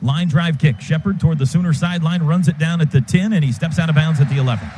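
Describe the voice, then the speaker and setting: Radio play-by-play man, high voice, rapid clipped calls, noisy stadium broadcast sound. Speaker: play-by-play announcer.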